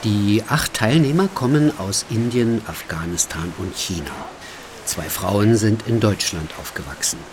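A man narrating a radio documentary feature in a calm, measured way. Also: fairly low pitch, background noise.

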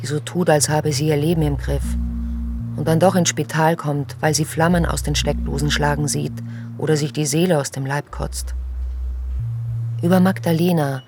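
An actor as the observant narrator of a radio drama, telling events in a measured, matter-of-fact way. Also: male voice, a little high, fairly even intonation, over music, close to an everyday voice. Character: observant narrator sketching troubled teenagers.

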